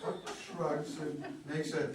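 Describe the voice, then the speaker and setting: A man's voice: a little high, level tone, slow pace, crowd noise. A man reading stage directions as scripted narration at a play reading. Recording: very echoey room, distant mic, faint noise.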